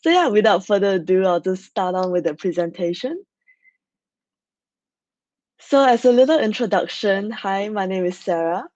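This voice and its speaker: A woman's voice: medium pitch, normal speed, long pauses, darkish tone, no room sound. A young woman from Malaysia giving a casual online conference talk, chatty and full of fillers. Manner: chatty, many fillers like "like" and "so yeah". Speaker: conference speaker. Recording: dry, slightly dull video-call audio.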